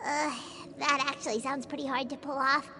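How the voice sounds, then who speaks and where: A woman's voice: sits high, little pitch movement, a little fast, heavy background noise, natural voice. A woman voicing a tiny, chirpy floating companion creature in a game cutscene, with a bouncy, blunt way of talking. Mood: curious. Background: game soundtrack music.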